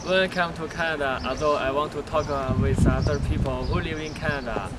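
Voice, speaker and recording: Man student reading over loud noise. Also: a little high, brisk. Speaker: English language student. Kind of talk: scripted personal statement.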